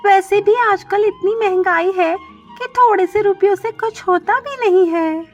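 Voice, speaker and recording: A woman plays a young female cartoon bird, a hardworking maid with a very high voice. She speaks politely and earnestly, modest and dutiful, over faint music. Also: measured pace, dull audio. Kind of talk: Hindi children's cartoon story dialogue.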